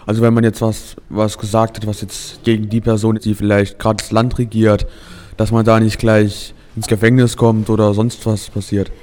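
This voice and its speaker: A man's voice: lowish voice, flat delivery, moderate pace, darkish tone, big-room sound. A man gives a casual, slightly hesitant interview statement.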